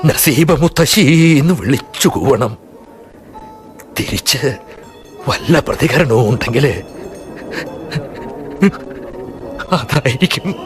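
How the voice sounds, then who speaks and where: An actor playing a grieving elderly grandfather who survived the partition, speaking slowly and gravely in a radio play. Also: mid-range voice. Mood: wistful. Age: older. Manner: slow, grave, emotional. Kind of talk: radio drama acting.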